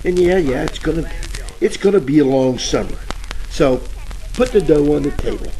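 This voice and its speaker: A man's voice: fairly high pitch, expressive, normal speed, warm tone. An actor playing a bumbling, jittery private detective in a 1940s radio parody, rambling and stammering through tough-guy slang over crackly static. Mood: wary, cajoling.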